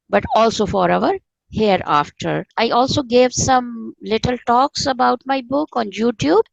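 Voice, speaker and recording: A woman's voice: a little high, creaky, no echo, muffled recording. A woman, an Urdu speaker, explaining things earnestly and repeating phrases for emphasis as an interview guest. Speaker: interview guest, author and spiritual teacher. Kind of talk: conversational explanation of spirituality.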